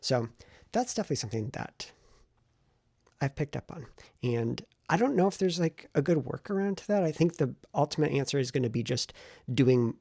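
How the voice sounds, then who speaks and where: A man with a gravelly, husky voice talks casually, as if to a vlog camera. He sounds reflective and thinks aloud as he goes, and the recording is dry and close. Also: mid-range voice, lively intonation, fairly quick, dark tone, no echo. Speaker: vlogger and novelist.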